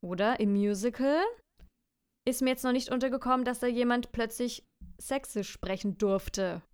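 Thoughtful young woman, smooth clear voice. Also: fairly high pitch, normal speed. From Franconia, Germany. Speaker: podcast co-host. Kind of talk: casual chat.

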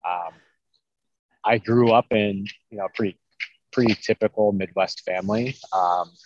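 A man's voice: fairly low pitch, steady tone, a little slow, no room sound, muffled recording. A man from central Iowa, a private chef and podcast guest, telling his story in an easygoing, casual way. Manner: easygoing storyteller, many ums and likes. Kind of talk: casual interview chat.

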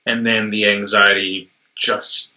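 A man's voice: fairly low pitch, level tone, slow, bright, forceful tone, poor audio. A man who stutters, with sound blocks and repeats, talking hesitantly with many fillers in a casual conversation.

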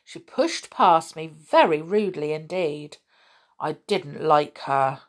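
A woman's voice: low-pitched, expressive, slow, heavily acted. A woman voicing a grumpy, miserable male goblin in a children's story, drawing out words in sulky disapproval. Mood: disapproving.

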